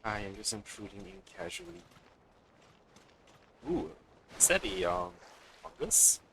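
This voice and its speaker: A man's voice: lowish voice, very animated, measured pace, gravelly, bright, forceful tone, a little hiss or hum. A man giving casual, chatty let's play commentary as a game streamer, sometimes reading game text aloud. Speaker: streamer, gamer.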